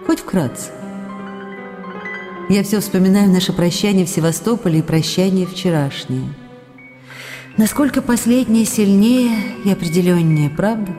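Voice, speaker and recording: Woman voicing a tender longing letter writer, intimate, emotional, warm dark tone, reverberant, faint background music. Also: mid-range voice, moderate pace, long pauses, natural voice. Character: longing young actress writing love letters. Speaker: letter reader. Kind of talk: radio drama letter reading.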